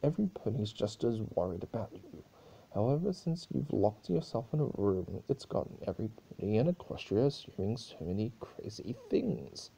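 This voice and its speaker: A theatrical, needling chaos spirit from a storybook, acted out by a man. The male voice is dark and husky, with pitch that swings widely as he toys with his listener. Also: big pitch swings, normal speed, heavily acted, poor audio. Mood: earnest. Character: mischievous male chaos spirit, teasing, occasionally grave. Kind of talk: dramatic fan-fiction reading.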